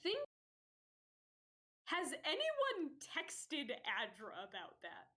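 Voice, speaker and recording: A woman's voice: high voice, wildly swooping pitch, drawn-out words, sharp tone. A woman running a tabletop role-playing game, talking casually and rambling with lots of fillers and easy laughter. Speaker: game master.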